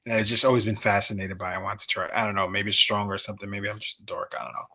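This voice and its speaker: Us guy, bright punchy voice, rambly casual podcast chat, pokes fun at himself. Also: lowish voice, very fast. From United States. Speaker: podcast participant.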